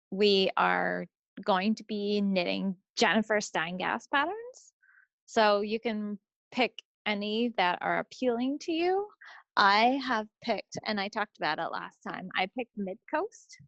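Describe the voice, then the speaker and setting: Gravelly-voiced woman chatting casually. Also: medium pitch, animated, takes it slowly, a bit bright, no room sound, highs cut off. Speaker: co-host of a knitting video podcast. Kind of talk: casual chat.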